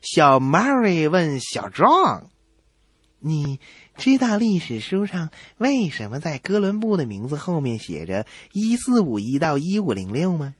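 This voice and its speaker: Lively male radio comedy host, slightly husky. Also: fairly high pitch, unhurried, no room sound. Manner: lively, acts out dialogue. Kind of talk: comedy radio joke-telling.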